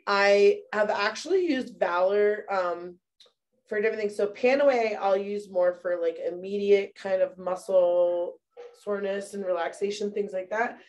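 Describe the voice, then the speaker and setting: A woman's voice: mid-range voice, unhurried, darkish tone. A woman, a mother, casually presenting products from her bathroom at home, chatty and full of fillers. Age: young adult or middle-aged mother. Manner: chatty, many fillers, addresses viewers as "you guys". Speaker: essential oil presenter.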